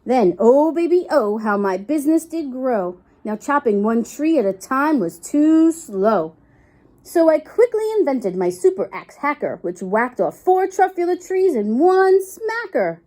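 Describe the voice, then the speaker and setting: A woman's voice: sits high, big pitch swings, measured pace, dark tone. A woman reading a rhyming storybook aloud expressively for young children. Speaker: storybook reader for preschool children.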